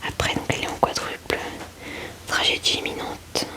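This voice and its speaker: A woman whispers breathily and gently into a close microphone, murmuring short informal remarks as ASMR commentary. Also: normal speed. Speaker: ASMR video creator.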